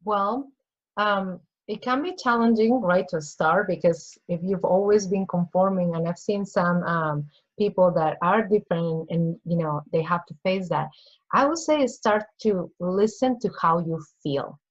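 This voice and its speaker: A woman's voice: on the low side, a little slow. Peruvian-accented woman, earnest, lo-fi sound. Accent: Peruvian Spanish. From Peru. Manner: earnest, repeats phrases, acts out inner voices. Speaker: guest coach. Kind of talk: casual interview chat.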